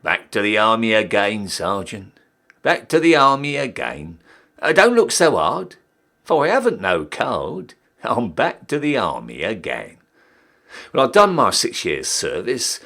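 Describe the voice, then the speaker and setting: Man acting a cheeky old-style British soldier, wildly animated. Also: fairly low pitch, unhurried, gravelly, exaggerated voice. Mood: rueful, defiant. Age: young adult. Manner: theatrical, emphatic, swooping delivery. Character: cheeky down-at-heel ex-soldier re-enlisting, Victorian British army ranker. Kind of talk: dramatic poetry recital.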